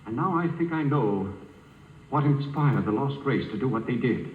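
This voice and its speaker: An actor playing an eager, excitable spaceship scientist who muses aloud in a 1950s radio drama. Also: male voice, mid-range voice, normal speed, lightly acted. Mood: grave. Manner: excitable, musing, hesitant when thinking aloud. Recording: muffled, hissy, reverberant 1950 radio broadcast.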